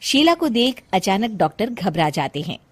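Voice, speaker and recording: A woman narrating a cartoon story in an even, scripted storytelling manner. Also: medium pitch, normal speed, breathy edge.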